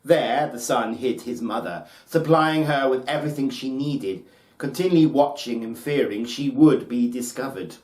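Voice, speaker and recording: A man narrating a folktale as a storyteller, with solemn, deliberate, theatrical phrasing. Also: medium pitch, darkish tone. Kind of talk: dramatic scripted folktale narration. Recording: roomy, echoing space.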